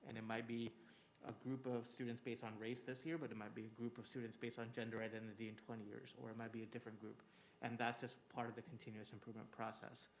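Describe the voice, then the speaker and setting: A man who is a school district administrator, giving a formal report to a school board in an earnest, steady way with many filler words. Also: medium pitch, slight background noise. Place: public school board meeting room.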